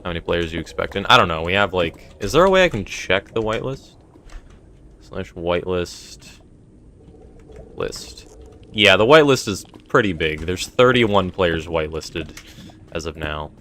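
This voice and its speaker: Low-voiced young man streaming casually. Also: expressive, moderate pace, sharp tone. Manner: rambling, reads chat aloud and answers it. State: voice feeling strained. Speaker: streamer running a game server. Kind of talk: casual live-stream chat.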